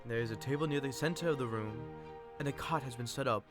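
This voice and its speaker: Man, amateur play reader, mid-pitched voice, informal narration, self-interrupting. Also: fast talker, over music. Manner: self-interrupting, joking asides. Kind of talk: informal read-aloud of stage directions.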